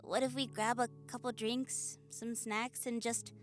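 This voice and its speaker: A woman voicing a shy, nerdy young goblin woman in a romantic audio roleplay, hesitant, stammering and soft-spoken. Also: fairly high pitch, little pitch movement, a little slow, close to an everyday voice. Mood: delighted. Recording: music behind the voice. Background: soft music.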